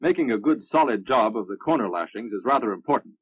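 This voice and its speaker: An American man reading scripted narration for a military instructional film in a measured, instructive manner. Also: on the low side, animated, husky. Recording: muffled, dry vintage film soundtrack.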